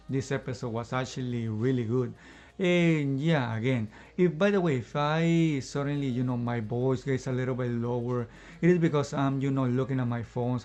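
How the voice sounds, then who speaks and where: A man of middle pitch talks through an episode review off the cuff, slightly winded, circling back on himself and padding gaps with filler phrases. Faint music sits under his voice. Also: darkish tone. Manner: rambling, fills pauses with "you know" and "I guess you can say". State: slightly out of breath. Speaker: anime reviewer. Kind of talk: casual unscripted review in one take.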